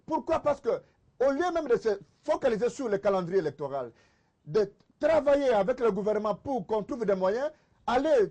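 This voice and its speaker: A man's voice: extremely high pitch, sing-song, normal speed, mellow. A man with a Congolese accent arguing insistently in a political TV debate, repeating phrases for emphasis. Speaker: debate panelist, political commentator.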